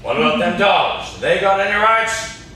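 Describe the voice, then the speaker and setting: A man's voice: high-pitched, lively intonation, normal speed, sharp tone. A young man acting in an amateur stage play as a menacing, mournful old cabin man with a folksy rustic drawl. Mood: accusing. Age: young adult. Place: classroom, indoors. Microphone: far camera. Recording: echoey classroom, distant camera mic, noisy.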